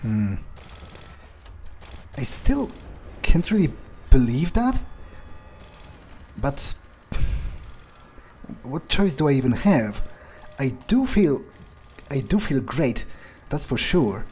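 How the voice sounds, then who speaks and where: A man doing an improvised in-character gameplay monologue, thinking aloud in a halting, musing way. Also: medium pitch, big pitch swings, darkish tone, a little hiss or hum. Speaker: gamer playing in character.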